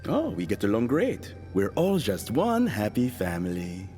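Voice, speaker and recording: Dry, sarcastic hitman, lively intonation. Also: lowish voice, unhurried, big-room sound, soft background music. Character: irritable, world-weary hired killer interrogating a woman. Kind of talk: audio drama acting.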